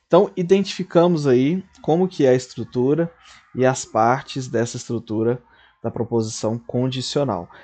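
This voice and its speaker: A man's voice: on the high side, dark tone. Man teaching logic at a board. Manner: explains step by step, often checks in with "certo?" and "tá?". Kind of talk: recorded logic lesson.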